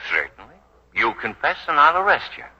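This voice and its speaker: An actor playing a tough, shrewd police detective in a 1940s radio drama, dry, sarcastic and needling. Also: male voice, a little high, big pitch swings, fairly quick, sharp tone, breathy edge, heavily acted. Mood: needling, sardonic. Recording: dull vintage 1940s radio recording.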